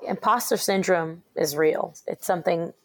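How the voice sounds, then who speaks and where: A woman's voice: fairly low pitch, normal speed. A woman hosting an interview, asking questions and affirming her guest often. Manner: warm, affirming, frequent backchannels. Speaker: interviewer, host. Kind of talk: conversational interview.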